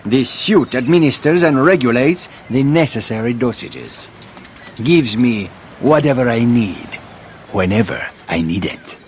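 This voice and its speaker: Man playing pompous villain, megalomaniac, lively swooping pitch, faint background noise. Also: measured pace, lightly acted. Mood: smug. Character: grandiose male villain in a battle suit. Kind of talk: video game cutscene acting.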